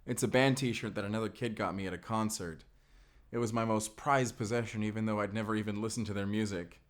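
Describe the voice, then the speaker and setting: A man's voice: fairly low pitch, steady tone, a little fast, close to an everyday voice. A man playing a young, sheltered and earnest vampire slayer, in a cinematic audio roleplay, speaking in an intimate, conversational way. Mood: wistful, playful.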